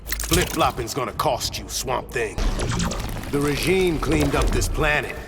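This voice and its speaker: Brash cyborg hero over music. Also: male voice, medium pitch, animated, measured pace. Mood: combative. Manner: street-casual, cocky. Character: brash, combative male cyborg superhero. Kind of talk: video game character dialogue.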